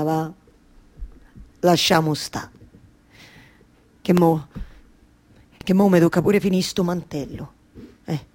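An actress playing a weary, exasperated yet tender waiting wife in a theatrical radio monologue, with a Roman accent and halting, repetitive phrasing. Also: female voice, medium pitch, lightly acted. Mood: scolding, exasperated. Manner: halting, obsessively repeating words and phrases.

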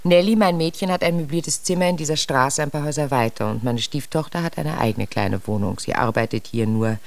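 An actress playing an anxious, genteel English widow who owns a shop, polite and hesitant, in a German radio crime drama. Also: female voice, sits low, fairly quick, gravelly, no room sound. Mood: polite, explaining.

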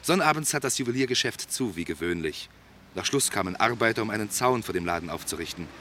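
Low-voiced, teasing police investigator. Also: male voice, animated, quick pace, bright, forceful tone, slight background noise. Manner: insistent, drily ironic questioning. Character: probing, courteous, dryly teasing male police investigator. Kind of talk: German radio play acting.

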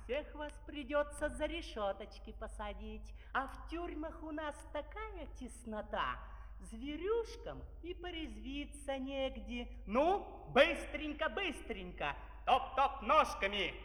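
Syrupy, menacing police spy, very high-voiced. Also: male voice, animated, takes it slowly, bright, forceful tone, full character voice. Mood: syrupy menace. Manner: mock-sweet, full of diminutives and false courtesy. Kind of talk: theatrical children's musical radio play acting. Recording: 1970s vinyl record, long reverb.

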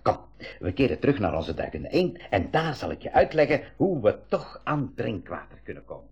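An actor playing a practical, encouraging professor uncle in a 1980s school radio play, explaining emphatically with a Belgian Dutch accent. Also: male voice, medium pitch, very animated, moderate pace, vocal fry, a little mellow, breathy edge, exaggerated voice. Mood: rallying. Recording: muffled 1980s radio recording.

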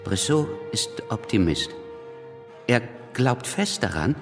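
Wortgewandter, lebhaft modulierender Revolutionsliterat. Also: male voice, on the low side, big pitch swings, takes it slowly, gravelly, long reverb tail, over music, heavily acted. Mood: wry, expository. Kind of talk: German radio drama acting and narration.

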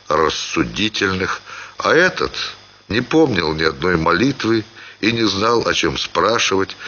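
Male narrator for literary prose: low, bright voice, theatrical phrasing, slightly dull recording. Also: a little hiss or hum. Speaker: actor reading a short story. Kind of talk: expressive scripted literary narration.

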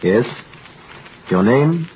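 A man's voice: mid-range voice, animated, very slow, narrow, tinny audio. A man playing a cool, authoritative prosecutor with a formal, probing manner in 1930s radio drama. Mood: curt, official. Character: cool authoritative royal prosecutor questioning a prisoner. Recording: thin noisy vintage radio recording.

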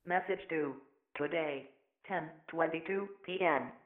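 A woman's voice: low voice, takes it slowly. A woman voicing an impersonal answering machine, announcing messages in a clipped, mechanical way. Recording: tinny, echoing answering machine speaker.

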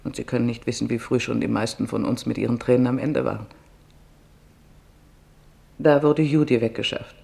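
A weary, sorrowful camp survivor, voiced by a man, gives testimony in a radio play. The voice has a heavy creak and a medium pitch. The recollection is plain and subdued. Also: fairly even intonation, moderate pace, long pauses, darkish tone. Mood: sorrowful, haunted.

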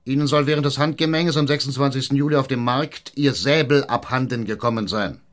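An actor playing a cunning, domineering mayor in a German radio drama, emphatic and sardonic. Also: male voice, medium pitch, brisk, close to an everyday voice. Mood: stern, interrogating. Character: blustering, cunning small-town mayor, crude and domineering.

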